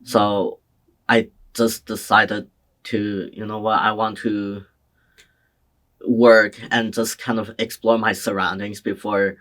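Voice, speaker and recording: Slow, hesitant young man. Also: fairly low pitch, little pitch movement. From China. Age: young adult. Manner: slow, hesitant, soft-spoken. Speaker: interviewee, community college student. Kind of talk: oral history interview answers.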